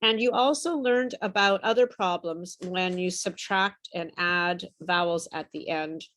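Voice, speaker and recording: Slow, patient woman teaching pronunciation. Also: lowish voice, fairly bright tone. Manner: patient, encouraging, explains with repeated example words. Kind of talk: online group pronunciation lesson.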